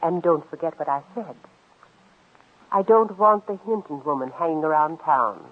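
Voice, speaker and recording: A woman's voice: sits low, animated, moderate pace. An actress playing a cold, controlling woman scheming in a murder plot, speaking in a commanding, composed, clipped way in 1940s radio drama style. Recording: tinny, muffled old-time radio recording.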